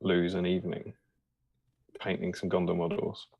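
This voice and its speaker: A man's voice: low voice, monotone, normal speed, vocal fry, a crowd in the background. A man hosting a casual live stream, joking and rambling with lots of fillers. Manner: jokey, rambling, full of fillers. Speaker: host.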